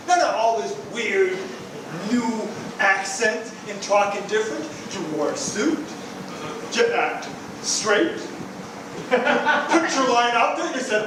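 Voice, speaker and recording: A gruff, no-nonsense old-school detective grumbling about the old days, played by a man in improv. His voice is high and slow. Heard from far away in a very echoey hall. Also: background noise.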